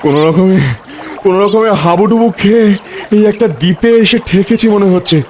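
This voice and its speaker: A man's voice: sits high, fairly quick, a little hiss or hum, lightly acted. A man voicing a humble, loyal, fretful servant in a cartoon, pleading and repeating his phrases. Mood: weary, anxious. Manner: pleading, breathless repetition of phrases. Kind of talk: melodramatic cartoon voice acting. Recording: prominent music behind the voice.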